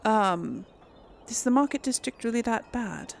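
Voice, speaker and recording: A woman's voice: on the high side, expressive, measured pace, vocal fry, slight background noise. A woman giving casual let's play commentary, musing aloud and rambling to her viewers. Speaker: gaming video host.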